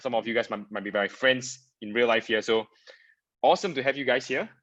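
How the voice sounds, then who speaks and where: A man's voice: mid-range voice, a little fast, a bit bright. A man from Singapore, a product designer, giving a casual webinar presentation with frequent fillers and repeated words. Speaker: guest speaker, product designer. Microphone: video call. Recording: dull lo-fi video call audio.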